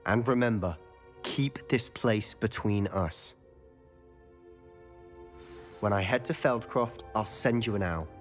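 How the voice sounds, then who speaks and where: An actor playing a charming teenage wizard schoolboy in a game, speaking in a lively, curious, confiding manner. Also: male voice, on the low side, slow pace, long pauses, faint music behind.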